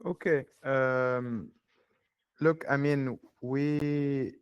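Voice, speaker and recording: A man managing a digital asset fund, explaining his business on a call in a hesitant, measured way with many pauses and fillers. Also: medium pitch, steady tone, clear, resonant tone, dull audio.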